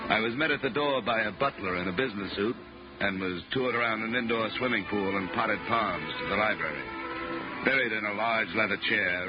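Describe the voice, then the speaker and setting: Man playing a deep-voiced hardboiled American radio detective. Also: lightly acted. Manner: terse, dry, wisecracking. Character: cool, hardboiled male insurance investigator, matter-of-fact. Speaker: lead actor and first-person narrator. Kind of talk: 1950s radio detective acting. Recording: muffled, noisy old radio recording.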